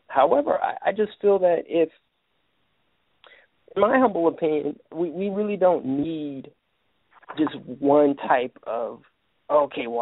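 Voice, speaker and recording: Male call-in radio host, gravelly, halting, with a dry, phone-thin sound. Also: a little high, no echo, phone-quality sound. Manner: halting, many restarts and fillers. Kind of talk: casual call-in radio talk.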